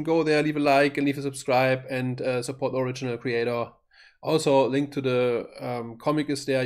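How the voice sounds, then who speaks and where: Man, thirties, reaction host, casual commentary, halting delivery, stumbles over words. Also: a little high. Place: small indoor home room.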